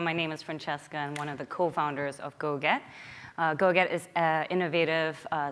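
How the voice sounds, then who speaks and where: A woman co-founder of a startup introducing herself on a panel, steady with fillers. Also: sits low. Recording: long hall reverb.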